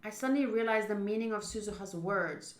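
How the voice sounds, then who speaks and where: A woman streaming a visual novel playthrough, reading the narration aloud in an expressive, dramatic way with excitable interjections. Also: medium pitch, a little fast. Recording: roomy echo.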